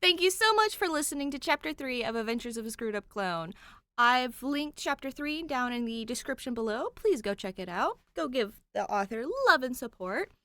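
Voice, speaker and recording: Female online creator, excited and rambling, casual direct address, very dry recording. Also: fairly high pitch, moderate pace, dry recording. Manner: enthusiastic, rambling. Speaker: podfic creator. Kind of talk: casual chat to listeners.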